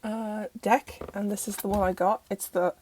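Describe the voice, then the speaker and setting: A young Irish woman with a croaky voice from recent illness, chatting rambly through a casual review in her bedroom. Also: mid-range voice, steady tone, normal speed, darkish tone, a little hiss or hum, low-quality recording. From Ireland. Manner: chatty and rambling, self-interrupting. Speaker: tarot channel host. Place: bedroom at home.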